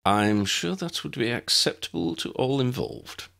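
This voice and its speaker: A man's voice: mid-range voice, very animated, a little slow, creaky, fairly bright tone, pitched above the performer's own voice, heavily acted. A man voicing a very old, courtly wizard uncle in an audiobook, formal, pompous and wry. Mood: droll, pompous. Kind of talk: audiobook character voice.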